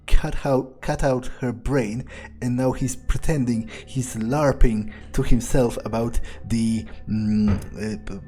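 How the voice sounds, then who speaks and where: A man giving in-character game commentary, musing aloud in a halting, sardonic and profane way. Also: medium pitch, warm tone. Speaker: gamer playing in character.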